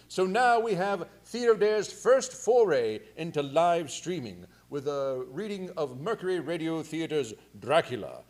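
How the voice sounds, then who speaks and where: Male theatre host, fairly high pitch, show introduction, chatty announcements, joking manner. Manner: jokey, chatty, breaks into chuckles.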